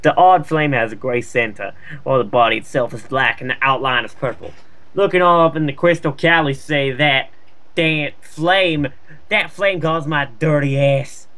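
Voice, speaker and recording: Man, bright edgy tone, casual comedic story reading, slangy, sarcastic asides. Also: fairly high pitch, normal speed. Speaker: fan fiction reader. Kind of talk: casual comedic read-aloud of slang-laden fan fiction.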